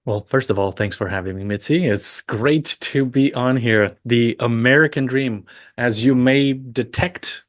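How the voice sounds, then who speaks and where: A man from Romania with a slight Romanian accent, answering interview questions in a measured, thoughtful, earnest way. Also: mid-range voice. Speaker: podcast guest.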